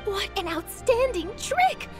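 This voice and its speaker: High-pitched, eager young reporter. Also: female voice, very animated, takes it slowly, exaggerated voice. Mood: gushing. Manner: gushing, articulate, enthusiastic. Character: eager, bubbly young female newspaper reporter. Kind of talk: anime video game dubbing. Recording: clear music behind the voice.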